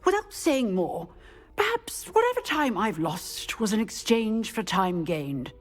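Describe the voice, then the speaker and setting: An actress playing a composed, wistful professor and former ministry agent, speaking formally and philosophically in game dialogue. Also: female voice, medium pitch, sing-song, unhurried, faint music behind. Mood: calm, reflective. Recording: long hall reverb.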